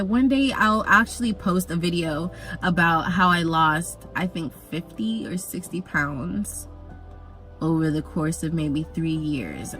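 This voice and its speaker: A woman's voice: lowish voice, poor audio. Young woman vlogging, chatty and joking around, faint music behind. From Jamaica. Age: young adult. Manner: chatty and animated, jokey asides. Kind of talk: casual personal storytelling.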